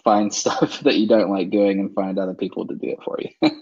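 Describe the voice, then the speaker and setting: A man from England, a guest in a casual interview, talking with many fillers and soft laughs. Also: fairly low pitch, fairly even intonation, a little fast, slightly rough, lo-fi sound. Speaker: interview guest, online seller.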